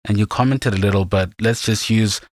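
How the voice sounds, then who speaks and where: A man from Zimbabwe hosting a podcast, asking questions thoughtfully and haltingly, with restarts as he frames them. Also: on the low side, steady tone, dry recording. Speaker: podcast host. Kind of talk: casual interview questions.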